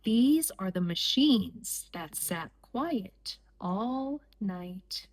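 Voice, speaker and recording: A woman reading a picture book aloud for young children in deliberate, measured phrases with pauses between short lines. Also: mid-range voice, animated. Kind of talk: slow read-aloud storytime for young children.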